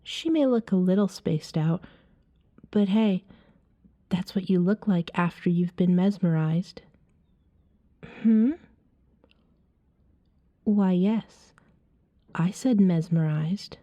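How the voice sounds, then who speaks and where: A woman playing a calm, seductive vampire in an ASMR roleplay, soothing and hypnotic, often slipping into a whisper. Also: lowish voice, takes it slowly, pauses often, muffled recording. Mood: teasing, coy.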